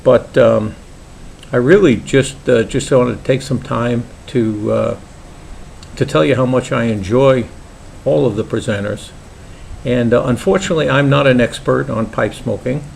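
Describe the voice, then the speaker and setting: Older Brooklyn-accented man, gravelly, relaxed halting home-vlog delivery, slight background rumble. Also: low-quality recording. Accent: New York (Brooklyn). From Brooklyn, New York. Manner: halting, many "uh"s and restarts, easygoing. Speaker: pipe maker vlogger. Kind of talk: casual hobby vlog.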